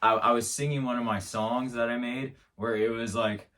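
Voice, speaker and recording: A man's voice: medium pitch, steady tone, normal speed, a bit bright, far from the mic. A man hosting his own show, telling an absurd comic story in a rambling, mock-serious way, stumbling over restarts. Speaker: show host. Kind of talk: absurd comedic monologue.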